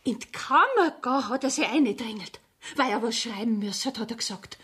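A young Bavarian village woman in a dialect radio play. Her voice is high, her telling earnest and rustic, naive yet feisty. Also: lively intonation, brisk, fairly bright tone, husky, exaggerated voice. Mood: relieved, indignant.

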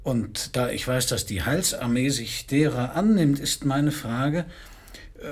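An actor playing a brooding, hard-drinking detective with a gravelly voice and a dry, sardonic manner in a noir radio drama. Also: male voice, lowish voice. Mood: hesitant, polite.

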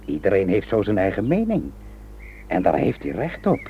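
An actor playing a suave Italian mafia envoy in a radio drama, with a smooth, ironically courteous manner. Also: male voice, fairly low pitch, wildly swooping pitch, normal speed, slight background noise. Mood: mocking politeness. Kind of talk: Dutch radio drama acting. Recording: thin, phone-like old radio recording.

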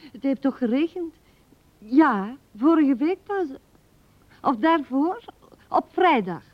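An actress playing an old widow who muses tenderly and haltingly to her late husband in a radio drama, often dropping to a hush. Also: medium pitch, dry recording. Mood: girlish, chatty. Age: older. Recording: dull 1970s radio recording.

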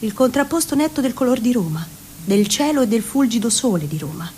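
A woman giving a dramatic literary reading, deliberate and theatrical, savouring ornate prose. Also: fairly high pitch, big pitch swings, a little mellow, slight background noise. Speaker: reciting actress in radio theatre.

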